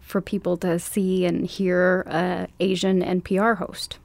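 A woman's voice: lowish voice, flat delivery, measured pace, vocal fry, darkish tone. A woman interviewing a guest, giving short replies and asking brief questions. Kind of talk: conversational interview.

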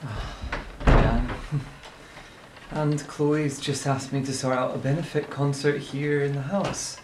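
A man's voice: mid-range voice, fairly even intonation, takes it slowly, darkish tone, close to an everyday voice. An actor playing a sociable, admiring man in an audio drama. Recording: echoey room with faint noise.

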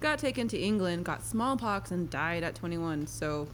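A woman's voice: on the low side, lively intonation, normal speed, fairly bright tone, slight background noise. A woman playing a blunt, opinionated teenage girl who argues dryly in a scripted comedy-drama. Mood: indignant.

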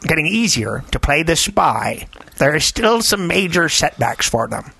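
Man doing a grumpy cartoon villain, husky, forceful, dry close sound. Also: on the high side, big pitch swings, unhurried, no echo, exaggerated voice. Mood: sneering. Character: grumpy cartoon villain reading out a letter, Grinch impression.

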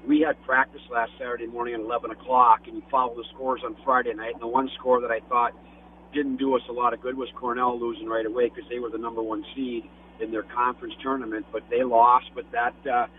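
A man's voice: medium pitch, flat delivery, fast. A middle-aged man, a hockey coach, being interviewed as a guest and talking in a matter-of-fact way. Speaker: college hockey associate head coach, guest. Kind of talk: phone interview. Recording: tinny phone line with faint hum.

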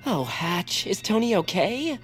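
A woman's voice: mid-range voice, sing-song, measured pace, faint music behind. A woman playing a prim, long-suffering little homebody in a comedy audio drama, courteous and polite. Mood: fretful, polite. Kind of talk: audio fiction comedy acting.

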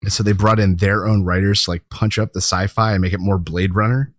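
A man's voice: fairly low pitch, fairly even intonation, fairly quick, no echo, studio quality. A man hosting a podcast, chatting casually about films and leaning on key words in an informal way. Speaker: podcast host. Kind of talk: casual film discussion.

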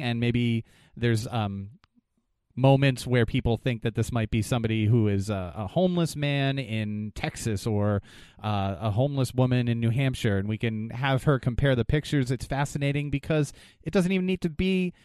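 A man's voice: mid-range voice, dark tone, clean tone, no echo, clean studio sound. A man with a New England accent co-hosting a podcast, chatting casually and rambling with fillers. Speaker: podcast co-host.

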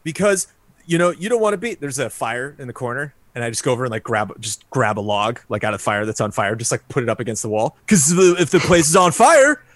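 Man as washed-up snowboarder, stammering excuses, animated delivery, dead dry room. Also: mid-range voice, quick pace, no echo, voice pushed down, close to an everyday voice. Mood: defensive. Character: washed-up young male snowboarder, defensive and rambling. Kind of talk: improvised tabletop roleplay.

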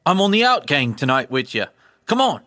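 A man's voice: fairly high pitch, very animated, a little fast, sharp tone, no room sound, clean studio sound. A man recording voice lines who keeps flubbing and criticizing his own takes. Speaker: voice actor. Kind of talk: recording session outtakes.

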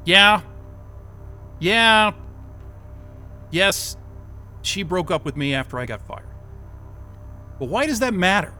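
A flustered, cynical out-of-work techie in an audio drama. His voice is high and piercingly sharp, swinging widely as he blurts and swears in exasperation. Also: lively intonation, slow, long pauses, faint music behind, exaggerated voice. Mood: defensive, irritated. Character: flustered, cynical jobless tech worker under interrogation. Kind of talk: naturalistic audio drama acting.

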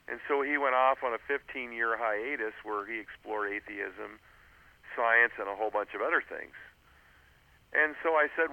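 Creaky-voiced man on a tinny phone line. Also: medium pitch, no room sound. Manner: earnest, anecdotal, with frequent "uh" and restarts. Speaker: author and interview guest. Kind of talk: storytelling on a radio interview.

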